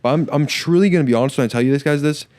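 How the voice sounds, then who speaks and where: A man's voice: fairly high pitch, rapid-fire, darkish tone. A young man hosting a solo podcast, talking casually and enthusiastically with lots of slang fillers and a slight stutter. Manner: enthusiastic, filler-heavy slang with frequent repeats. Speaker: podcast host.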